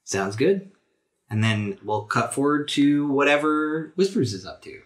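A man's voice: medium pitch, lively intonation, a little slow. A man narrating a tabletop game podcast as its game master.

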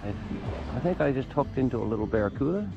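A man hosting a fishing vlog, talking casually in a folksy, unscripted, halting way. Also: medium pitch, lively intonation, vocal fry, lo-fi sound, over music. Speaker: fishing channel host. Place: garage, outdoor beach and shoreline, varied spots.

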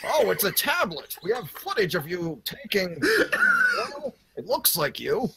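A woman's voice: on the high side, huge pitch swings, measured pace, gravelly, full character voice. A woman voicing a blunt, menacing government agent in an improvised, giggly cartoon parody. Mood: amused. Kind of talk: improvised comic cartoon fandub. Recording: loud background noise, clicks.

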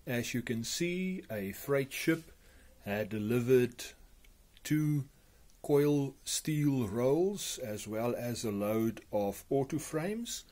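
A man narrating a hobby video in measured, broken phrases with pauses. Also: mid-range voice, animated, darkish tone. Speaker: model railway hobbyist narrator. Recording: clearly noisy amateur recording.

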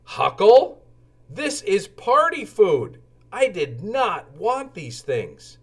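A kindly mother cat from a children's storybook, acted by a man. She talks slowly in a raised, expressive voice, motherly and gently firm. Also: sits high, breathy edge, pitched above the performer's own voice, full character voice. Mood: dismayed.

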